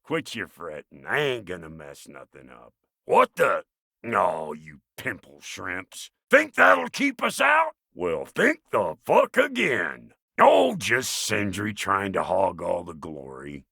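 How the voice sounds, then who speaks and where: A crusty video game dwarf blacksmith with a heavily gravelled, sharp-edged voice. He is cantankerous and cocky, slinging folksy insults, and his pitch swings wildly from low grumble to high bark. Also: huge pitch swings, takes it slowly, no room sound, clean studio sound, exaggerated voice. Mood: irritable, boastful. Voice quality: gravelly, raspy. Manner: folksy rustic slang, colorful insults, swaggering. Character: gruff, foul-mouthed, cantankerous dwarf blacksmith with folksy swagger. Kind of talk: video game character acting.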